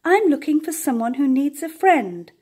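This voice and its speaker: A woman voicing an earnest, childlike young beaver in a children's storybook, with drawn-out, exclaiming delivery. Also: high voice, sing-song, mellow, voice pushed up. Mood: eager. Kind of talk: children's storybook read-aloud.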